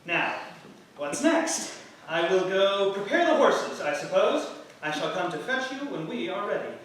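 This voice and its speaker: A man acting a devoted, gently playful husband from early modern England in a staged play reading, earnest and tender in delivery. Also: sits high, very animated, unhurried, full character voice. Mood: kindly, brisk. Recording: roomy theatre, distant mic, faint noise.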